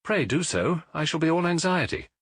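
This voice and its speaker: A man's voice: on the high side, animated, normal speed, fairly bright tone, slightly rough, dry recording, clean studio sound, voice pushed up, exaggerated voice. A man voicing a proud, anxious foreign king in a storybook, speaking with grand emphasis. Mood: anxious, emphatic. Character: imperious, anxious Bohemian king, intense and proud.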